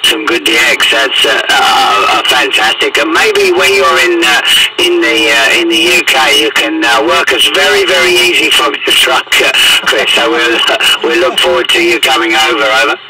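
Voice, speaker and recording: A man's voice: fairly high pitch, big pitch swings, moderate pace, creaky. A man chats casually as an amateur operator over a radio transmission, with hesitant, repetitive phrasing. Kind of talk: casual radio contact chat. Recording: very noisy, distant radio transmission.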